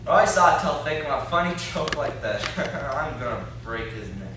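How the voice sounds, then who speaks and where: A man's voice: a little high, lively intonation, moderate pace, lightly acted. A young man playing an indignant, blustering country captive in an amateur stage play, with a folksy, complaining, threatening way of talking. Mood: uneasy, blustering. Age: young adult. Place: classroom-like room, indoors. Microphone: far camera. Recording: echoey room, distant camera mic, faint noise.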